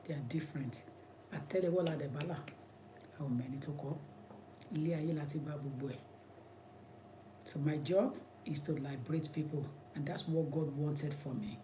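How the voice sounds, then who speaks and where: A man's voice: on the high side, normal speed, long pauses, dark tone, slight background noise. A man with a Nigerian accent, an immigration lawyer giving impassioned commentary in an emphatic, repetitive, preacherly way.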